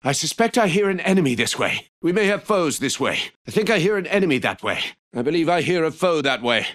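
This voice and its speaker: Courteous warrior with swooping pitch. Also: male voice, very animated, a bit bright. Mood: watchful, formal. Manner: formal, measured wording. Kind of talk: video game voice lines.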